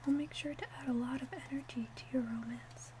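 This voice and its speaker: A woman playing a gentle, attentive witch in a soft, unhurried whispered ASMR roleplay. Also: sits high, fairly even intonation, background noise, close to an everyday voice. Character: gentle, attentive witch mixing love potions.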